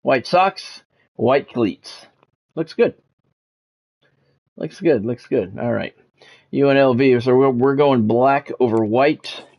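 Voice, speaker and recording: A man's voice: medium pitch, takes it slowly, creaky, pauses often, muffled recording. A man streaming a sports video game, mixing casual chat to viewers with play-by-play commentary and repeating himself as he goes.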